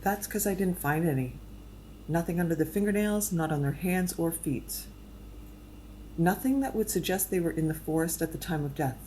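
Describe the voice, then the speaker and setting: A woman playing a low-voiced, dry medical examiner. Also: normal speed, mellow, slight background noise, natural voice, low-quality recording. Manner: clinical, dry. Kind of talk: audio drama acting.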